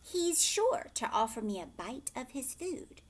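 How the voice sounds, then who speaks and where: A scornful young man from a storybook, acted out by a woman reader, selfish and boastful. The delivery is sneering and scheming, slow and high with lively swings in pitch. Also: a little hiss or hum. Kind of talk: read-aloud storybook character.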